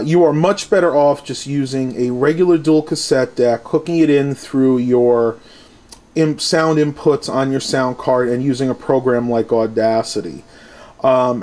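A man's voice: mid-range voice, darkish tone. A man giving a casual hands-on tech demonstration and review, speaking into a handheld camera at home. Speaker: product reviewer. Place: small furnished room at home. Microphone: handheld camera's built-in mic, held by the speaker.

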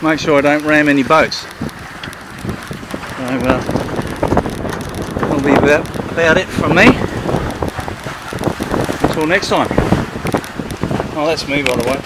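Older man, casual self-shot boat video, slightly high voice, heavy wind noise outdoors. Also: a little slow, long pauses. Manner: relaxed, rambling, self-deprecating, hesitant fillers. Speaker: boat builder filming his sail. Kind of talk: casual self-filmed commentary. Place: on deck of a sailing catamaran, outdoors. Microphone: handheld camera mic. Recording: loud wind noise on camera mic.

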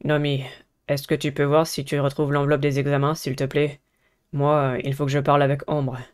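Give this visual novel schoolboy a lowered, mellow voice performed by a woman. He is a serious, protective student delegate who speaks in a measured and earnest manner. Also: monotone, clear, resonant tone, natural voice. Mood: polite. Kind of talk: visual novel character reading.

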